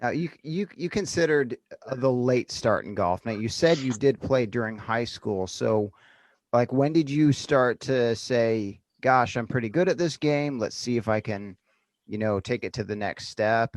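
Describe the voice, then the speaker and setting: A male podcast host with a mid-range voice. The recording sounds dry and close. He asks curious, probing questions in a relaxed interview. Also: medium pitch, measured pace, no room sound. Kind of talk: casual interview.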